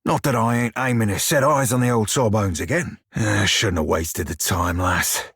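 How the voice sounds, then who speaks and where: Salty husky pirate rogue for a video game, drawly sailor dialect, wry n bawdy, dry close sound. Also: male voice, fairly low pitch, moderate pace, fairly bright tone, dry recording, heavily acted. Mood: gruff, wry. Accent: stylised pirate dialect. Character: furry blue male pirate, roguish, salty and bawdy. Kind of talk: video game character dialogue.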